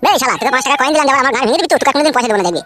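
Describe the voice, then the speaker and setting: A man's voice: very high-pitched, rapid-fire, sharp tone, soft background music. A man voicing a brash, complaining, foul-mouthed young son-in-law in a comedy cartoon. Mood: defiant. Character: cheeky, whining, squeaky young husband and son-in-law.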